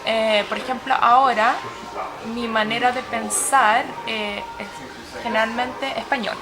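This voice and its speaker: Young woman, Chilean Spanish accent, Swedish first language, slightly higher pitch, hesitant interviewee. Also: fairly even intonation, a little slow, poor audio. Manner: thoughtful, with frequent hesitations. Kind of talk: casual interview.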